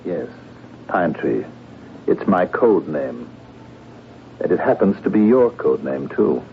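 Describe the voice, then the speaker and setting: An actor playing a calm foreign secret agent who speaks formally and measuredly, uneasy underneath, in a 1970s radio drama. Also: male voice, sits low, creaky, heavily acted. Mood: cryptic, measured. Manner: measured, enigmatic, formal phrasing. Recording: muffled phone-like old radio recording, hiss.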